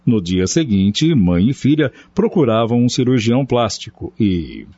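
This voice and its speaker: Mellow, husky male radio drama narrator. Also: mid-range voice, big pitch swings, a little slow. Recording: dull lo-fi, faint music behind.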